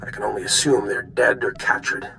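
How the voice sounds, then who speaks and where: An actor playing a weary, grave older general who gives deliberate military briefings in a video game. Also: male voice, lowish voice, fairly even intonation, vocal fry, bright, forceful tone, slight background noise. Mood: grave, confiding. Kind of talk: dramatic video game dialogue.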